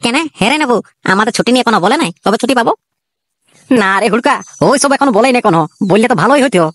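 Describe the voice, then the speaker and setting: A voice artist voicing two jittery young male soldiers in a comic cartoon, with a Purulia Bengali accent and rapid, chatty banter. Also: medium pitch, a bit bright, no room sound, natural voice.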